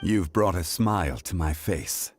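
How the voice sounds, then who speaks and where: A dignified, immortal god for a video game. The voice is very low, and the speech is formal, eloquent and composed. Music plays clearly behind it. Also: male voice, measured pace. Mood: solemn, calm. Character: ancient composed male god of contracts, dignified and measured. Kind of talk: scripted video game dialogue. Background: background music.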